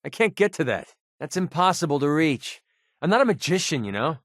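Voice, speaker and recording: A man's voice: fairly high pitch, sing-song, fairly quick, a bit bright, no room sound, studio quality. A man playing a sardonic but loyal young mercenary companion in a video game, with a casual, wry delivery. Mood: exasperated, sarcastic.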